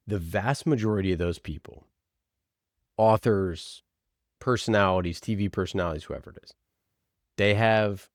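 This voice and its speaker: A low-pitched man giving conversational answers as an interview guest on a podcast. He is emphatic, often repeating a phrase to drive it home, and the sound is close and dry. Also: dry recording.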